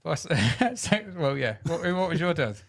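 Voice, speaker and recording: A man's voice: fairly high pitch, sing-song, a little slow, gravelly. A man with a Southern English accent hosting a casual chat show, chatty and giggly, asking long, rambling questions. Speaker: podcast host.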